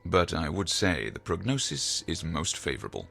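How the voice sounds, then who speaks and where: An actor playing a calm, pedantic psychiatrist in an audio drama, speaking in a measured, slightly pompous, professorial way. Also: male voice, low voice, creaky, a little hiss or hum, exaggerated voice. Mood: professional, reassuring. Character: calm, pedantic male psychiatrist, lecturing and reassuring.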